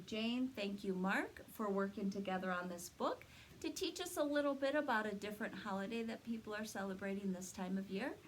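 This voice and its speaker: A woman's voice: a little high, slight background noise, poor audio. A woman leading a read-aloud story time for young children, sing-song and encouraging, explaining things simply.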